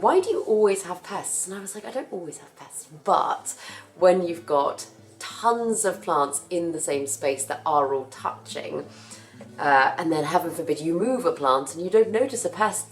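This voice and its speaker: Low, husky-voiced woman. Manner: chatty, rambling, self-correcting. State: husky from a cough. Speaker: plant care vlogger. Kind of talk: casual chat while working.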